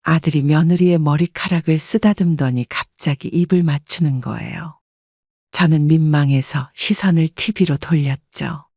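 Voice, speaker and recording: A woman narrating a family story in a calm, measured way, recorded on a close studio mic. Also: deep voice, dry recording.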